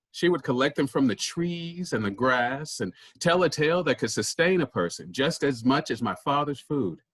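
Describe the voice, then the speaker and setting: A man's voice: mid-range voice, a bit bright, no room sound. A man playing a humble farmer who leads a traveling troupe of storytellers, giving an earnest, formal monologue in a staged play reading.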